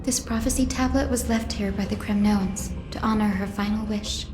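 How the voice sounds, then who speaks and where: A woman's voice: medium pitch, steady tone, moderate pace, natural voice. A woman playing a kind, optimistic young priestess in a video game, speaking earnestly. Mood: grave, reflective. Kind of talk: scripted video game voice acting. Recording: echoey game audio with music behind.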